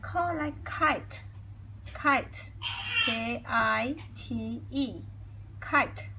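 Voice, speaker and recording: A woman's voice: fairly high pitch, heavy background noise. A young woman from Cambodia with a Khmer accent, teaching her language at a whiteboard and repeating each sound over and over. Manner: deliberate, repeats sounds many times. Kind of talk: slow whiteboard language lesson. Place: indoors at a whiteboard.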